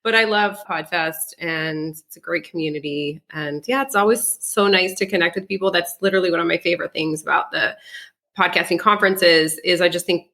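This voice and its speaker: Chatty American woman, slightly low voice. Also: brisk, sharp tone. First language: French. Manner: chatty, laughs often while talking. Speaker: podcast guest, psychic. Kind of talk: casual conversational interview.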